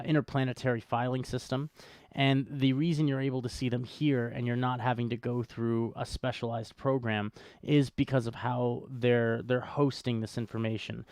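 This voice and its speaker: A man's voice: medium pitch, a little mellow, no room sound. A man hosting a live podcast, explaining things in an unscripted, conversational way. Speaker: podcast host.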